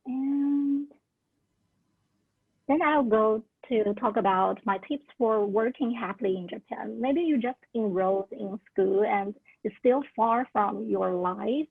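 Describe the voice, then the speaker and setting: Young woman, English speaker, earnest career-advice talk, husky, tinny phone-like call audio. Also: on the high side, slow, no room sound. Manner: earnest, with many "like" and "uh" fillers. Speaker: alumna sharing career tips. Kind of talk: casual talk at a virtual orientation. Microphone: video call.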